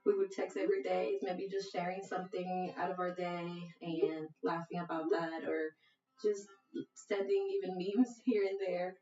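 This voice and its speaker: A woman's voice: on the low side, level tone, moderate pace, dark tone. A young woman from Costa Rica speaking casually in a documentary interview, with light laughs. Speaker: interviewee, cousin. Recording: roomy, distant, dull audio with music.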